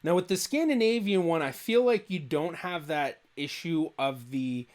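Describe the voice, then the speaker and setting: A young adult man, a genealogist, explaining test results in a relaxed, thinking-aloud commentary. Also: fairly high pitch, moderate pace. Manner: thinks aloud, hedging with "I feel like". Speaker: genealogist reacting to a video.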